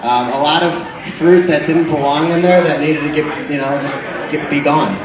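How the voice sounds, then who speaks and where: A young man spins a comic tale into a microphone, a touch higher in pitch than most men, laughing as he goes. His voice bounces around a very echoey hall, with a crowd chattering loudly behind him. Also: moderate pace. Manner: animated, laughs often while telling. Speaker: storyteller. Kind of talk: comic storytelling to a live crowd. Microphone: microphone into a speaker system. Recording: very echoey room with crowd noise.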